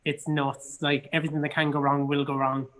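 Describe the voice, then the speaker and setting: A man's voice: a little high, flat delivery, a little fast, fairly bright tone. A man from Cork with an Irish accent, an actor chatting casually and telling anecdotes as an interview guest. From Cork, Ireland.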